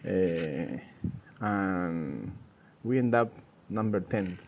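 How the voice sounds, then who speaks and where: A middle-aged man with an Argentine accent, a native Spanish speaker, chatting casually and haltingly as a tango dancer being interviewed. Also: sits low, animated, vocal fry, a little mellow. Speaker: professional tango dancer and teacher, interview guest. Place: outdoors in a garden. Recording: noisy outdoor ambience.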